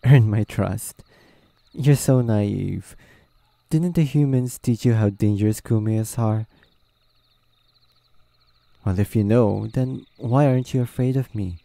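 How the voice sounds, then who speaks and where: A man voicing a proud, wary, easily flustered male nine-tailed fox spirit in a halting roleplay audio drama. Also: lowish voice, vocal fry, dark tone, dry recording. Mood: flustered, indignant. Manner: hesitant, halting, muttering to himself.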